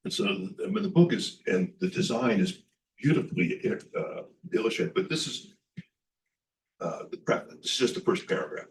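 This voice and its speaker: A poet from Los Angeles speaking at a book event, halting and repeating words as he starts each thought. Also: mid-range voice, very animated, vocal fry, distant mic, slight background noise, rough recording. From Los Angeles, California. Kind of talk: book event talk and reading.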